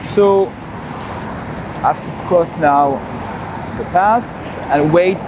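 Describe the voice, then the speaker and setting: A man's voice: sits high, slow pace. Chatty French man on a noisy street. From France. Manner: chatty, repeats phrases, frequent "you know" asides. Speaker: walking tour livestream host. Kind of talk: live walking-tour commentary. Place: outdoors on busy city streets. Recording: loud street traffic behind the voice. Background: city traffic and street noise.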